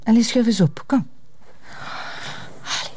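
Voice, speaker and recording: An actress playing an exasperated but affectionate wife who nags and coaxes in a Flemish accent, in a drama. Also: female voice, on the high side, measured pace, darkish tone, slightly rough. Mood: teasing, nagging. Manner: nagging, coaxing. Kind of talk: naturalistic Flemish radio or TV drama acting.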